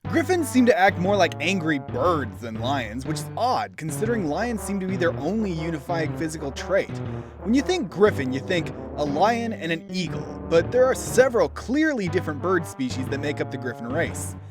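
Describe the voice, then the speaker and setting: Man, theatrical reviewer, scripted narration, lively swooping intonation, clear background music. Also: fairly high pitch, normal speed. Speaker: video reviewer, host. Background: instrumental music.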